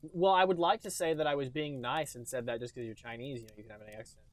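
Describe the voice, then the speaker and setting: A man's voice: mid-range voice, fast. A man hosting a podcast in casual chat, jokey and somewhat rambling in the way he talks. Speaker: podcast host.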